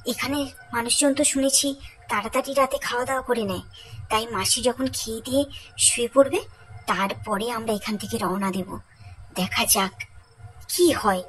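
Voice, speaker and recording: A woman's voice: a little high, fairly bright tone, pitched above the performer's own voice, low-quality recording. A woman voicing a curious, bossy young friend in a horror cartoon, chatty and emphatic.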